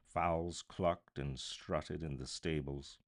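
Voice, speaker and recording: Deep, slow male storyteller. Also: steady tone. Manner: theatrical, deliberate phrasing. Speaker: storybook narrator, voice artist. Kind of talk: dramatic live audiobook reading.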